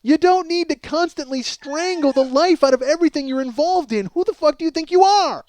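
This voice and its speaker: High-voiced American man, comic podcast rant. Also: brisk, darkish tone, no echo. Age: middle-aged. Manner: exasperated, self-mocking, addresses listeners as folks. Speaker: comedian and podcast host. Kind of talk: ranting comedic monologue, casual and profane.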